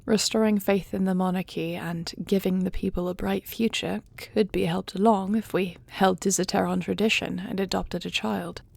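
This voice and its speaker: Woman acting as earnest, creaky-voiced fantasy queen. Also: medium pitch, steady tone, a little mellow, no room sound. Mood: formal, measured. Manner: formal, deliberate phrasing. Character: dutiful dragon-shifter queen, earnest and measured, gracious monarch. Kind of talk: fantasy audio roleplay acting.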